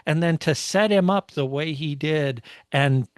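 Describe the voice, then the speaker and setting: A man's voice: fairly high pitch, measured pace, clean tone, no room sound, studio quality. A man co-hosting a motorsport podcast, recapping a race in casual, enthusiastic conversation.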